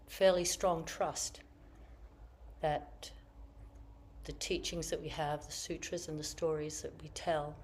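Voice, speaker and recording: A woman Zen teacher giving a dharma talk in slow, reflective, searching phrases, her voice a little croaky after being ill. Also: sits low, steady tone, darkish tone, a little hiss or hum. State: slightly croaky from illness. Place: meditation hall with online audience.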